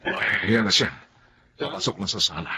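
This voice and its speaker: A man's voice: deep voice, moderate pace, pushed and bright, a little hiss or hum. A man acting a kindly, reassuring middle-aged uncle in a family drama, fatherly and folksy, with a Filipino accent. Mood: jovial.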